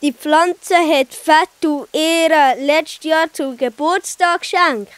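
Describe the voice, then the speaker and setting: Casting: woman as conscientious young boy, very high voice, measured delivery, children's audio play. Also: dry recording. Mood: earnest, urging.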